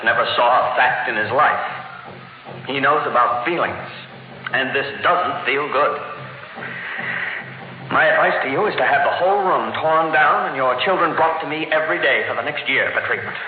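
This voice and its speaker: An actor playing a grave, authoritative psychologist and family friend in a 1950s radio drama, speaking emphatically. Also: male voice, mid-range voice, moderate pace, over music, natural voice. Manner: emphatic, professional. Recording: echoey, narrow vintage radio recording.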